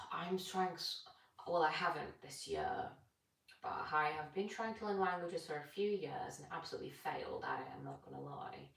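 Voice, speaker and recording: Chatty young woman, low voice, roomy. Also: gravelly, a bit bright. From Britain. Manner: chatty, rambling, reads out viewer comments. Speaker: stretching coach live-streaming. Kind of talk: casual live-stream chat while stretching. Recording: echoey room, distant from mic.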